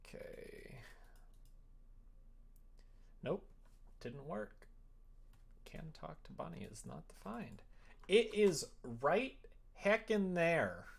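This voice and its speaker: Lively swinging pitch, slow pace, muttered self-talk, playful outbursts, informal live-stream coding commentary. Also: creaky, slight background noise. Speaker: streamer making a visual novel. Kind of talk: casual live coding commentary, thinking aloud.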